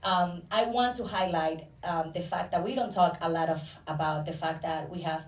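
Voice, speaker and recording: A Dominican-accented woman with a lowish voice answering questions at a festival talk, heard across an echoey room. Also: normal speed. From Dominican Republic. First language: Spanish. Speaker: actor and filmmaker interviewed. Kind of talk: casual interview answers. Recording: roomy echo, distant microphone.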